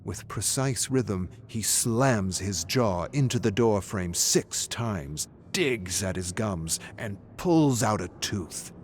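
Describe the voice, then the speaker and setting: A man with a low voice narrates a horror tale. He takes his time, reading the script with solemn, measured drama. There is noticeable ambient noise behind him. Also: animated.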